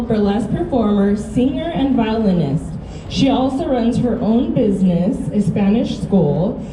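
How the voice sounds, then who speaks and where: Woman, stage host, upbeat, slightly high voice, echoey PA, loud crowd and noise behind. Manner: upbeat, reading contestant introductions. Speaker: pageant emcee. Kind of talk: live stage hosting. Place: outdoor car show stage.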